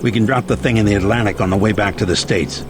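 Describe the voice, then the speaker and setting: An actor playing a brisk, dependable helicopter pilot in a video game. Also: male voice, fairly low pitch, background noise, close to an everyday voice. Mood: urgent. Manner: brisk, matter-of-fact.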